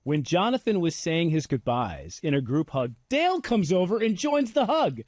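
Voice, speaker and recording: A man's voice: fairly high pitch, huge pitch swings, moderate pace, darkish tone, dry recording, clean studio sound. An American man hosting a casual TV recap chat, playfully teasing and provoking the other panelists. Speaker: host.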